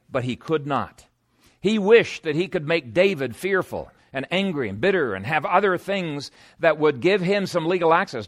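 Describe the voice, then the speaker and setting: A male pastor delivers a sermon in a somewhat high voice. He speaks earnestly and with emphasis, moving between storytelling and explanation of scripture, and puts rhetorical questions to his listeners. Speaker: pastor preaching.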